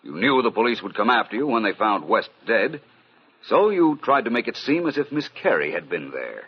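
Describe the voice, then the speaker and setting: An actor playing a suave, self-assured gentleman detective in an old-time radio mystery, urbane, precise and knowing. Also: medium pitch, very animated, moderate pace, husky. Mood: firm, confident. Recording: dull, lo-fi vintage radio recording.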